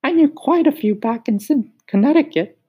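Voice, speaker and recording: A man voicing a shy, wistful young male cricket with a high, musical, sing-song voice, for a children's storybook reading. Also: lively intonation, normal speed, warm tone. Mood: wistful storytelling.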